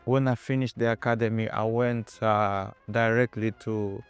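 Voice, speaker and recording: A man's voice: fairly low pitch, steady tone, moderate pace, clean tone, faint music behind. A young man with a Kenyan accent, answering interview questions in a hesitant way with many fillers. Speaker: footballer guest.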